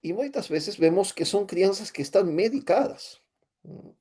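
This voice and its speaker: A man with an Argentine Spanish accent, a native Spanish speaker, giving an online lecture in a hesitant way with many fillers and pauses. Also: high voice, animated, dark tone, husky. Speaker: teacher and therapist. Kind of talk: online lecture with shared screen.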